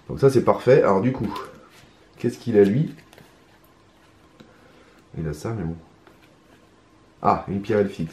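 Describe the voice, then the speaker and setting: A hoarse man talking his way through a solo card game in hesitant, mumbled commentary. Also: on the low side, mellow. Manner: hesitant, mumbling, many restarts, muttering to himself. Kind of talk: casual solo gameplay commentary. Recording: roomy echo, faint ambience.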